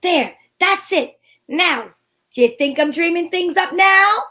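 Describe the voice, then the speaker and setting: A woman's voice: extremely high pitch, lively intonation, unhurried, sharp tone, heavily acted. A woman playing a plucky, curious female private detective in an amateur old-time radio drama, wry and wisecracking. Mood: eager, teasing.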